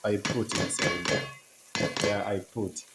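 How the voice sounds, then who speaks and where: Male cooking vlogger, Tanzanian accent, non-native halting English, unhurried and lowish, with clear background noise. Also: level tone. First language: not English. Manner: halting simple English, repeats short phrases. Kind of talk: casual cooking demonstration to viewers. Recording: echoey, noisy with cooking sizzle.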